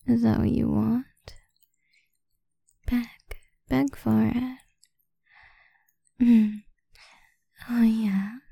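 A woman speaking in a breathy whisper in an erotic roleplay audio, domineering, commanding and taunting. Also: on the high side, warm tone, no echo.

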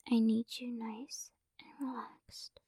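A woman doing a whispered romantic ASMR roleplay, speaking softly and coaxingly, close to the microphone. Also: a little high, level tone, takes it slowly, dry recording. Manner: soft breathy whispering, affectionate and coaxing. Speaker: ASMR performer.

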